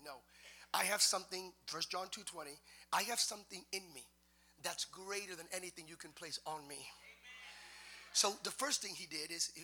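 A man preaching in a church, his voice sitting very high for a man. The tone is strikingly bright and cutting. He shifts from soft explaining to loud, half-sung preaching. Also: slight background noise. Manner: builds from quiet talk to loud sung preaching. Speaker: pastor. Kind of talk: sermon. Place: church sanctuary.

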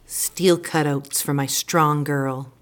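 A woman playing a caring mother who coaxes and gently prods her girl in an audio drama. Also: low-pitched, fairly even intonation, slow pace, a little hiss or hum. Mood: tender, instructive. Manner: nurturing, coaxing, instructive. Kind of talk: naturalistic audio drama acting.

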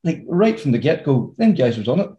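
A man's voice: on the high side, very animated, brisk, mellow. A man hosting a podcast in a chatty, upbeat way with plenty of fillers. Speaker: podcast host. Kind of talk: casual podcast chat.

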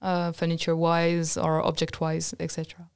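Young woman from France, native French, low smooth voice, faint music. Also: completely flat delivery, normal speed. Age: young adult. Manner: thoughtful, lists examples with fillers. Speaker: guest, design student. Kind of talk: casual podcast chat.

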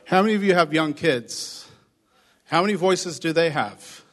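A man with a hoarse voice, pastoring a church, giving chatty announcements and a short sermon to his congregation. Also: a little high, animated, moderate pace, a bit bright. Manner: upbeat, chatty, addresses congregation directly. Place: church sanctuary. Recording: long reverb of a church hall.

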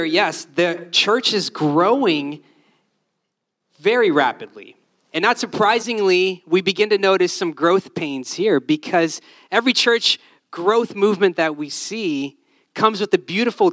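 A man's voice: high voice, fairly bright tone, no room sound. A man born in Honduras, a Spanish speaker, preaching a conversational sermon. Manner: conversational, tag questions.

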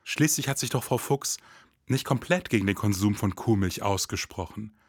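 An actor playing a formal, pompous detective in a satirical noir podcast drama, with stilted, theatrical phrasing. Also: male voice, lowish voice, normal speed, slightly rough, no room sound, clean studio sound. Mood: deliberate, grave.

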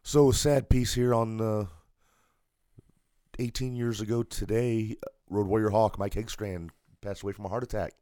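A man co-hosting a casual wrestling podcast, hoarse today, relaxed as he works through a list of dates. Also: lowish voice, normal speed, no echo, studio quality. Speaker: podcast co-host.

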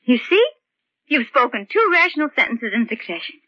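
Radio drama role: anxious, pleading woman, very animated pitch, dull dry 1940s sound. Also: fairly quick, no room sound. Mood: coaxing, patient. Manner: pleading, fretful, insistent. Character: anxious, coaxing woman tending a disturbed man.

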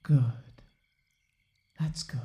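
An actor playing an uneasy, dutiful Puritan sheriff in a period audio drama, restrained and formal. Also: male voice, drawn-out words, mellow. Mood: restrained, coaxing. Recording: roomy, echoing sound.

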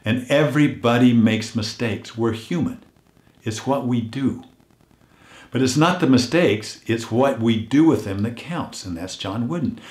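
An older man hosting a weekly motivational message, earnest and unhurried, his voice a little hoarse as if he is losing it, often dropping to a hush on heartfelt points. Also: mid-range voice, reverberant. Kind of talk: reflective motivational talk.

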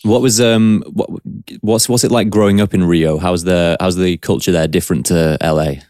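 Low-voiced podcast host, dry sound. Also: male voice, fairly even intonation, a little mellow, no echo. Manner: measured, hesitant questions with fillers. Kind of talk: scripted intro and casual interview.

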